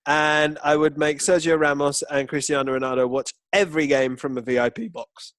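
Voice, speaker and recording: A man's voice: a little high, fairly even intonation, a little fast, fairly bright tone, dry recording. A man co-hosting a football podcast, chatting casually with emphatic, opinionated and sweary banter.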